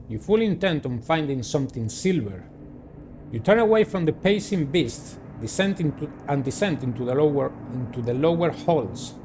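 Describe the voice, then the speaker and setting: Male game narrator, somewhat high and highly expressive, dramatic reader with asides, faintly noisy recording. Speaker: gaming video host. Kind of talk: reading visual novel narration aloud with personal commentary.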